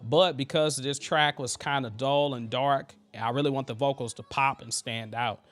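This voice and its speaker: A man's voice: mid-range voice, steady tone, moderate pace, fairly bright tone. A man with a thin voice hosting a casual live tutorial on vocal mixing, loosely chatting with viewers as he works. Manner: loose and chatty, full of fillers and asides to the chat. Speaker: host teaching vocal mixing.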